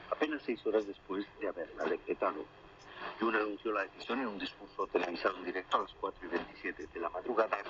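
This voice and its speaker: A man presenting a scripted radio news bulletin in a formal, measured announcer's delivery, heard over shortwave radio. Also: deep voice. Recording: muffled shortwave radio reception, distant, crackly.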